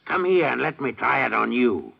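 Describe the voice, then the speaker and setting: An actor playing a bossy amateur hypnotist with a gravelly, husky voice in an old radio comedy commercial. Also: male voice, medium pitch, very animated, normal speed, exaggerated voice. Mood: coaxing, commanding. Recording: dull old radio recording.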